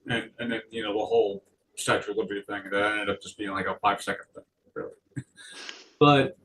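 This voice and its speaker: A man chatting casually in a rambling, halting way, often correcting himself mid-sentence. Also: lowish voice, fairly even intonation, a bit bright, far from the mic, a little hiss or hum, rough recording. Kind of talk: casual chat.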